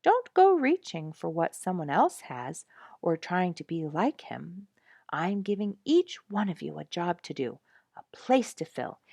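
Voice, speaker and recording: A woman's voice: lowish voice, gravelly, mellow, no echo, studio quality, exaggerated voice. A woman voicing a blunt, self-assured young male porcupine who lectures in a folksy, emphatic way, as in a storybook. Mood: earnest, preachy. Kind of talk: storybook character speech.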